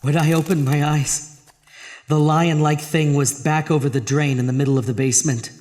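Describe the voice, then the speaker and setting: A man's voice: fairly high pitch, fairly even intonation, moderate pace, a little mellow, natural voice. A man playing a tormented, terrified husband in a horror audio drama, dramatic and intense. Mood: grim narration. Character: terrified, tormented husband narrating his own horror tale. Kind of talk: horror audio drama, first-person narration and dialogue. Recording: long reverb, music underneath.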